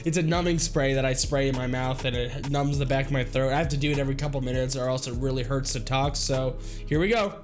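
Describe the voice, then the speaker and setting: A man's voice: mid-range voice, steady tone, fast, music in the background. A young man in his twenties with a congested, nasal voice from being sick, chatting casually and joking about himself as a gaming YouTuber. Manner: jokey, chatty, laughs at himself. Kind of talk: casual video commentary. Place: small home studio with foam panels. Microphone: desk podcast mic on arm.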